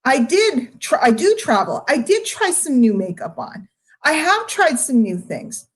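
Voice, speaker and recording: A woman's voice: sits high, very animated, measured pace. A middle-aged American woman giving a candid, off-the-cuff monologue to her followers, emphatic and confrontational, repeating phrases for stress. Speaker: online marketer speaking to followers.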